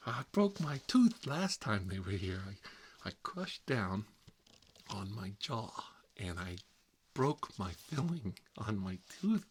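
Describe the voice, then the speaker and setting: A man's voice: sits high, darkish tone, a little hiss or hum, exaggerated voice. A man playing a put-upon, self-deprecating middle-aged uncle in a rambling, folksy ASMR comedy role-play. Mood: wry, rambling.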